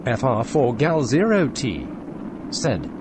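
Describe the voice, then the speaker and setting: A man's voice: mid-range voice, slow pace, background noise. A synthetic male text-to-speech voice reading out usernames and chat messages one after another. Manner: reads usernames then messages flatly.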